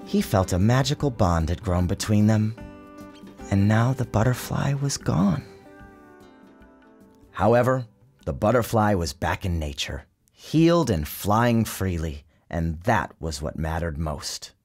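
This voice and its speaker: A man giving a measured, theatrical storybook narration in an expressive, dramatic style. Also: on the low side. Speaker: author reading his book aloud. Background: music behind the narration.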